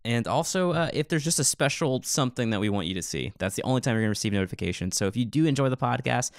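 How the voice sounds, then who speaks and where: A man's voice: mid-range voice, expressive, rapid-fire, vocal fry, dry recording, studio quality. A man co-hosting a podcast, chatting and commenting casually. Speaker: podcast co-host.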